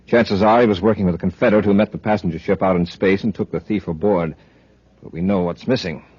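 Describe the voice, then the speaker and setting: An actor playing a steady, brisk and commanding space patrol commander in a 1950s radio serial. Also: male voice, low-pitched, husky, no echo. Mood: matter-of-fact, serious. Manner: brisk, authoritative. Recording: dull, lo-fi vintage radio recording.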